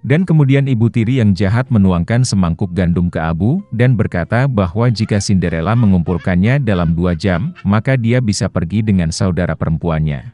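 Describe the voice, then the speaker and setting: A man's voice: low voice, animated, normal speed, warm tone. A man reading a scripted fairy tale as a storyteller for children, with music behind him. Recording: roomy echo under faint music. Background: faint background music.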